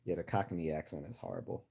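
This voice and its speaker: Midwestern american guy chatting on his stream, really deep mellow voice, casual. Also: breathy edge. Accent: general American, Midwestern. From United States. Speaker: livestream host. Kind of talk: casual livestream chat.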